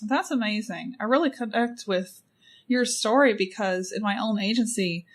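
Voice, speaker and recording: A woman's voice: mid-range voice, moderate pace. Podcast host: woman, mid-range voice, upbeat and encouraging. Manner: enthusiastic, encouraging. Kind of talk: casual interview chat.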